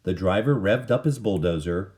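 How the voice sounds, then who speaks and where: A man reading a children's picture book aloud in an animated, dramatic manner. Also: low-pitched, normal speed. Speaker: story reader.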